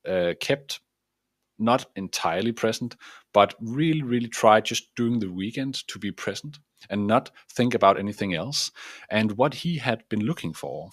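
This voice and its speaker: A man's voice: on the low side, a bit bright, no echo, studio quality. A man from Denmark with a Danish accent, a coach giving an earnest self-help talk to camera, often restarting and searching for words while he explains and sketches a diagram. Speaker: transformative coach.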